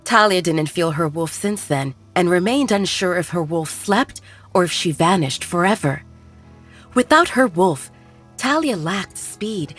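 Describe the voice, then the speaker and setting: A woman reads fiction as an audiobook narrator. Her voice sits a little low and moves with very lively intonation. Her storytelling is measured and expressive, over soft background music. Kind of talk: scripted dramatic fiction narration.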